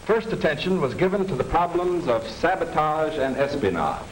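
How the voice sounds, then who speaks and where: An American man reading scripted 1940s wartime documentary narration in a measured, formal manner. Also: a little high, very animated, a little hiss or hum, low-quality recording. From United States. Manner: measured, formal, declarative delivery. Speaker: government film narrator. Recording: 1940s film soundtrack with music underneath. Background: music.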